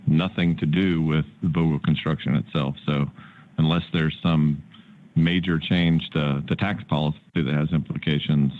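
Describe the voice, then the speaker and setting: An American man, a company executive, answering analysts' questions in a measured way with halting repeats. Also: extremely low pitch, fairly even intonation. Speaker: company executive on an earnings call. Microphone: conference call. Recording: muffled, narrow-band conference call line.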